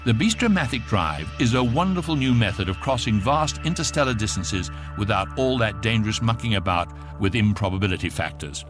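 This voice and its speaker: An actor playing the dry, knowing narrator of an electronic guidebook in a radio drama, lecturing with a straight face. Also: male voice, lowish voice, animated, brisk, hardly any pauses, music in the background, close to an everyday voice. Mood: wryly informative. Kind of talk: scripted narration.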